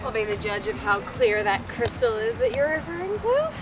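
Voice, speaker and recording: A woman's voice: fairly high pitch, big pitch swings, moderate pace, music in the background. A young woman chatting casually as a travel vlog co-host, with a playful, dryly teasing manner. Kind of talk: casual chat.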